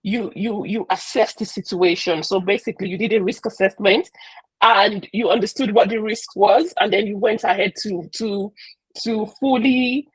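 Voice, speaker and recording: A woman's voice: medium pitch, moderate pace, breathy edge, dry recording, rough recording. A woman hosting an interview, recapping answers and asking questions in a hesitant way with many fillers. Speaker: host and moderator.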